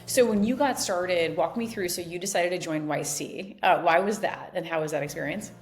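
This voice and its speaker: A woman with a fairly low voice hosts a podcast interview in a conversational style. Light music sits behind her as she speaks. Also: normal speed. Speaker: podcast host and investor.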